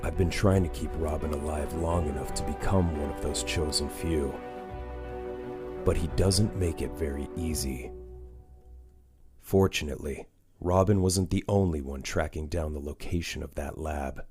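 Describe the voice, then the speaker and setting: A man playing a grim, stern vigilante mentor in a comic dub, brooding and terse, with measured delivery. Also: a bass voice. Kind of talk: comic dub narration and dialogue. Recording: music behind the voice.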